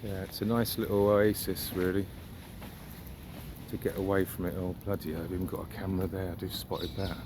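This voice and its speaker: Low-voiced man rambling outdoors. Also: flat delivery, brisk. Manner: musing, opinionated, mildly sweary. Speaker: vlogger. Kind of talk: casual rambling walk-and-talk monologue. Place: outdoors, walking suburban riverside streets. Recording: outdoor background noise. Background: outdoor ambience.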